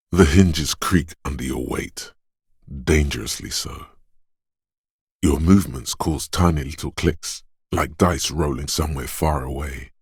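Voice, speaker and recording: A man voicing an inner sense of perception in a video game, narrating in a hushed, husky, deliberate and poetic way, savoring each sound. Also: extremely low pitch, dry recording, studio quality, full character voice. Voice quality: husky, gravelly, breathy. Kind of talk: atmospheric scripted game narration.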